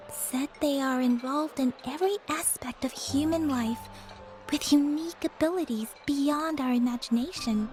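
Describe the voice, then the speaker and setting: An actress playing a calm young woman in a game who explains things in a measured, unhurried way. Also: sits high. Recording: game dialogue with soft music.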